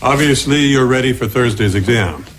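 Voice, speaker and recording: A man playing a dry, patient high school history teacher in a 1980s sitcom, keeping a rowdy class in line. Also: moderate pace, a bit bright, lightly acted. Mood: dry, wry. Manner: dry, wry, firm with the class. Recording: noisy TV audio, studio audience laughter.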